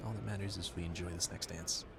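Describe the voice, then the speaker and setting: Man acting a flustered, low-voiced romantic gentleman. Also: fairly even intonation, quick pace, vocal fry, noisy background. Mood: nervous, courteous.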